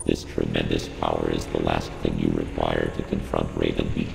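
Game AI advisor: cold, synthetic, deliberate, extremely gravelly and utterly monotone. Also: male voice, extremely low pitch, exaggerated voice. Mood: grave, detached. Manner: flat, deliberate, emotionless delivery. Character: cold, deep-voiced military AI computer advisor. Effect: synthetic computer voice processing. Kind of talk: scripted game dialogue, briefing. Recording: echoey hall reverb, loud ambient hum.

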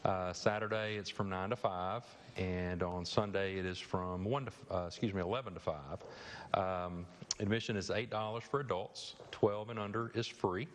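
Low-voiced man, halting, in a reverberant studio. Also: fairly even intonation, a little hiss or hum. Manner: hesitant, many uh fillers. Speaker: bank representative guest. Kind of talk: live TV interview. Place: TV studio set. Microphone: lapel mic.

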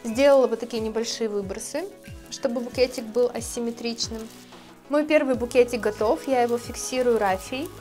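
A woman in her thirties, a florist, calmly explaining her steps in a tutorial, speaking gently to her viewers. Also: a little high, normal speed, soft background music. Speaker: florist teaching a course. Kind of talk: calm tutorial narration. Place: indoor studio with plants. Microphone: lapel mic.

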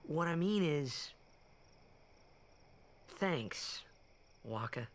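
An actor playing an earnest, restless young athlete hero in a video game, boyish and a little hesitant. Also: male voice, on the high side, lively intonation, very slow. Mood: awkward gratitude. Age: young adult. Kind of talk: video game cutscene acting.

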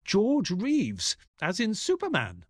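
An actor playing an eager, earnest detective's assistant who narrates an audio drama like a storyteller. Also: male voice, sits high, wildly swooping pitch, takes it slowly, slight background noise, exaggerated voice. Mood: curious.

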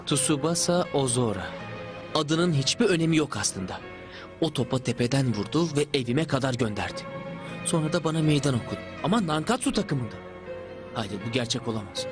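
A man's voice: medium pitch, lively intonation, a little fast, close to an everyday voice. A man voicing a cocky, proud boy soccer star who scorns challengers, in a dubbed anime cartoon. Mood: brooding. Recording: music under the voice.

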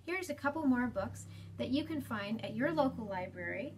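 A woman in her thirties hosting a children's storytime, explaining gently and deliberately in a slightly breathy voice. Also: a little high, lively intonation, noisy background. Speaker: children's storytime host at a library. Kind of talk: children's storytime reading and talk. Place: small library space, indoors.

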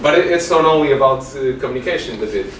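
A man's voice: mid-range voice, lively intonation, fast. A man presenting at a tech meetup, hesitant with many fillers. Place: large meeting room with projector. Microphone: far room mic. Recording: echoey room from far off, noisy.

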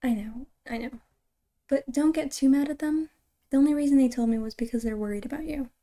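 Woman playing a very soft, mellow caring girlfriend. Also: sits high, lightly acted. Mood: gentle concern. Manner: soft-spoken, often breathy and near whisper, halting and tender. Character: caring, gentle, reassuring girlfriend. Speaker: ASMR roleplay performer. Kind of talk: ASMR girlfriend comfort roleplay.

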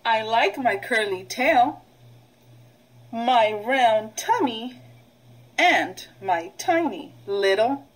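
A middle-aged woman, a preschool teacher, leading a read-aloud vocabulary lesson in an instructional way, pausing for children to repeat. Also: on the high side, expressive. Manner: instructional, prompting with pauses for repetition.

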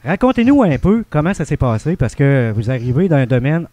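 A middle-aged man with a Quebec French accent hosts a radio interview from a small cluttered studio. His voice sits slightly high with a warm, mellow tone, and the sound is close and dry. He chats along with frequent hesitations. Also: very animated, a little fast, no room sound. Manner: chatty, hesitant with fillers. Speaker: radio host. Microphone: studio mic on stand.

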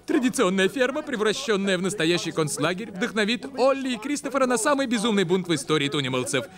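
Bossy cartoon owl, high voice, swooping intonation, didactic, theatrical presenter. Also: male voice, very animated, fast talker, over music. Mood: excited announcing.

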